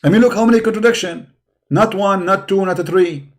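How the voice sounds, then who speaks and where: A man with a fairly high voice and Arabic as his first language hosts a religious debate. He argues insistently and combatively, hammering his phrases again and again for emphasis. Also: a little fast. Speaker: debate show host. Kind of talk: argumentative religious debate with a caller.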